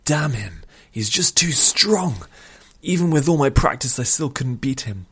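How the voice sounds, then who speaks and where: A man's voice: fairly low pitch, a little fast, darkish tone, pitched above the performer's own voice, natural voice. A man voicing a hot-tempered, vengeful young male hero in a storybook. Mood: furious.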